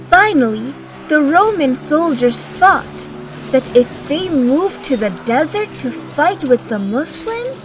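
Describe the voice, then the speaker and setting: High-pitched woman narrator over music. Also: a bit bright. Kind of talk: scripted children's story narration. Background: background music.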